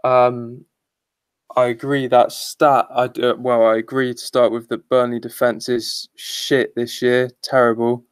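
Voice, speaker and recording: A man's voice: mid-range voice, little pitch movement, unhurried, clear, resonant tone, dry recording. A man co-hosting a fantasy football podcast in casual chat, speaking bluntly and swearing freely.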